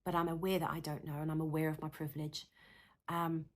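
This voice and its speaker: This is a woman with a South African accent and a lowish voice. She teaches trainee therapists to camera in an earnest, passionate way, often doubling words for emphasis. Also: level tone, fairly quick. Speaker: counsellor and psychotherapist. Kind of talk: direct-to-camera teaching talk.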